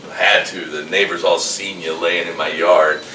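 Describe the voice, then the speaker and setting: A man's voice: sits low, fairly even intonation, normal speed, close to an everyday voice. An actor at a live table read, playing a grumpy, scowling old male teacher with a dry, folksy way of talking. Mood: grumpy, accusing. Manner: dry, curmudgeonly, folksy grammar. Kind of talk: live staged script reading. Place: live staged event in a room. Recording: roomy, distant microphone, faint noise.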